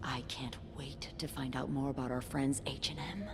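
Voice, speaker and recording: An actress playing a chatty, wry young woman working as a hotel receptionist in a video game, with playful, expressive delivery. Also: a bass voice, moderate pace, noisy background. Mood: breezy, playful. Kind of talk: video game dialogue acting.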